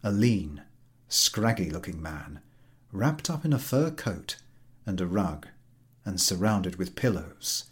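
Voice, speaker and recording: A man's voice: low voice, big pitch swings, slow pace. A man narrating a classic short story in a scripted, literary reading style. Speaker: story reader.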